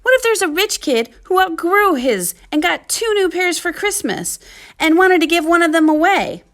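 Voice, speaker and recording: A woman voicing an eager young boy who longs for something, in an expressive children's picture book reading. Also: extremely high pitch, normal speed, voice pushed up, full character voice. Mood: hopeful. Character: eager young boy longing for cool shoes.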